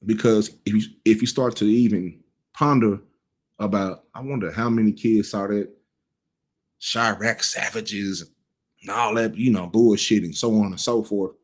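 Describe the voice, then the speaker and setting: A man's voice: on the low side, fairly even intonation, a little slow. A man in his thirties giving casual cultural commentary, blunt and profane, rambling with frequent restarts. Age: 30s.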